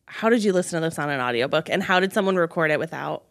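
A woman's voice: deep voice, expressive, quick pace. A woman co-hosting a book podcast, chatting casually with lots of "like" and frequent giggles. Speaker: podcast co-host. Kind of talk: casual chat.

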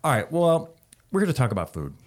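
A male podcast host with a lively, animated voice whose pitch swings widely. He asks his guests loose, rambling questions in an easygoing back-and-forth. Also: quick pace. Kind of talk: casual interview chat.

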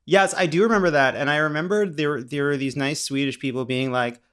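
A man's voice: a little high, steady tone, fairly quick, a bit bright, smooth. A man co-hosting a casual political podcast, joking and self-mocking. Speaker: podcast co-host.

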